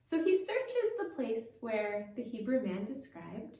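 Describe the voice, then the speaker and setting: A woman's voice: on the high side, wildly swooping pitch, takes it slowly, warm tone. A woman pastor telling a story to children, explaining things simply and addressing them directly, recorded with a roomy echo from a distant microphone.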